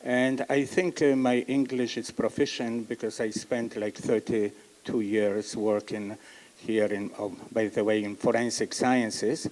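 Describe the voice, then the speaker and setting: A man from Poland in his sixties, speaking English with a Polish accent, asking a question from the audience with hesitant pauses. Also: lowish voice, steady tone, a little mellow, poor audio. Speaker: audience member.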